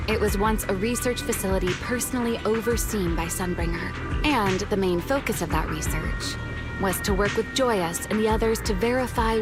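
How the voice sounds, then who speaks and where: An actress playing a serene, teasing legendary huntress in a video game, speaking politely and playfully over game music. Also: female voice, mid-range voice, close to an everyday voice. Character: serene, teasing, century-old legendary woman hunter. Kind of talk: video game story dialogue.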